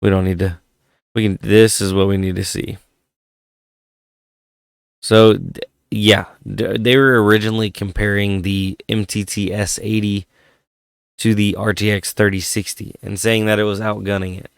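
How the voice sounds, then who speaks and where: Casual vlog host, male, lilt, low and gravelly, voice fading from a cold, dry close sound. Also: level tone, normal speed, long pauses, no echo. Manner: laid-back, rambling, thinking aloud. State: losing his voice from a head cold. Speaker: tech channel host. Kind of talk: casual commentary on hardware.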